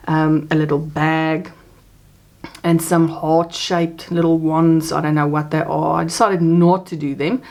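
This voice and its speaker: A chatty South African woman in her forties, her first language Afrikaans, talks on camera about crochet. Her voice sits a little low and leaps up in pitch as she gets animated. She is seated at a desk in a small home craft room, close to the camera mic. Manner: chatty, animated pitch jumps, laughs often. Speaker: crochet vlogger. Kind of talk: casual show-and-tell chat.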